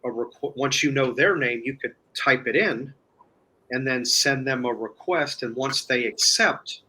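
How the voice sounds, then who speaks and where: Bright, forceful man explaining things casually. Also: medium pitch, measured pace, slightly rough, poor audio. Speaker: guest, online video creator.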